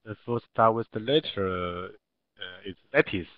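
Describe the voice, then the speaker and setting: A man's voice: fairly low pitch, measured pace, no room sound. A man from Taiwan, a non-native English speaker with a Taiwanese accent, answering as a student in an online class. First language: not English. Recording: dull, lo-fi online call microphone.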